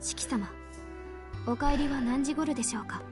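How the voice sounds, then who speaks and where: Formal, reserved young maid played by an actress. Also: female voice, sits high, fast, a little mellow, soft background music, lightly acted. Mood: polite, composed. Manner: formal, deferential. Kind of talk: Japanese visual novel voice acting.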